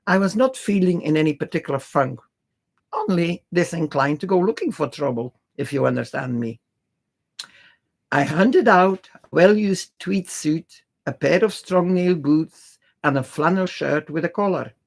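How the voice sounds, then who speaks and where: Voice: medium pitch, lively intonation, moderate pace. A reader narrating a classic adventure novel aloud in the first person.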